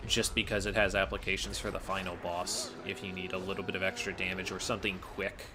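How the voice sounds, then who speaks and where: A man's voice: deep voice, little pitch movement, quick pace, background noise. A man giving casual, analytical gameplay commentary as a speedrunner, explaining his choices.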